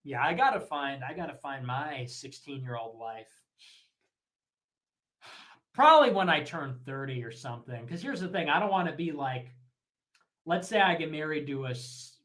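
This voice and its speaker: A man with a fairly high, bright and sharp voice delivers a brash, mocking rant. He talks in quick bursts and comes across as provocative. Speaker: online streamer in a clip.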